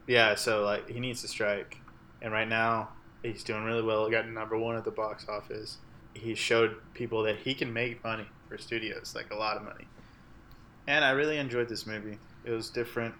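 A man's voice: lowish voice, flat delivery, normal speed, bright, forceful tone. Rambling male podcast co-host, faint hum. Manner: rambling, many fillers and restarts. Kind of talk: casual podcast chat.

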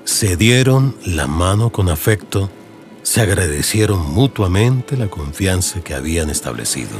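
A man's voice: deep voice, big pitch swings, a little slow. A man with a Colombian accent giving dramatic, scripted narration for an audiobook in radio soap style. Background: music.

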